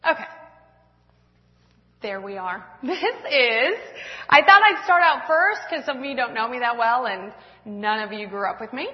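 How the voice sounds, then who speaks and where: A woman's voice: fairly high pitch, expressive, a little slow, pauses often. Woman in her 30s, church testimony speaker, rambling and self-mocking, muffled lo-fi hall sound. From Washington State, US West Coast. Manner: self-deprecating, laughing often, rambling with fillers. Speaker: speaker at a church women's event. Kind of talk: personal testimony talk with slides.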